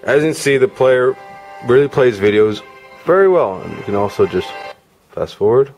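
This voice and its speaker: A man's voice: medium pitch, lively intonation, normal speed, darkish tone, low-quality recording. A man giving a casual hands-on gadget demo in an informal, off-the-cuff way. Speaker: product reviewer. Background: music from demo videos on a player.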